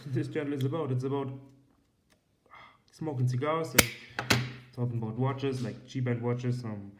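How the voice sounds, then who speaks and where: A man's voice: medium pitch, heavy background noise. A young man in his twenties with a German accent, hosting a casual watch review vlog, rambling with many ums and casual swearing. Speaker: watch and cigar channel host. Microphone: iPad.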